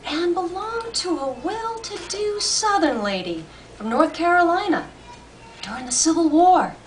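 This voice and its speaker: Woman voicing a high-pitched, courteous old shopkeeper. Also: lively intonation, unhurried, soft background music. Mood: courteous, pitching. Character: courteous old gentleman antique shop salesman. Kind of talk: audiobook character reading. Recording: distant mic, faint room noise.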